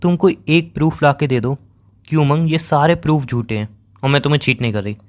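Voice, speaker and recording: Hurt, accusing young boyfriend, somewhat high-voiced man acting. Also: brisk, natural voice. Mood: weary, pleading. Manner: pleading, sarcastic, repeats phrases for emphasis. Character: hurt, accusing young boyfriend confronting his cheating girlfriend. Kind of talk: dramatized phone-call breakup argument.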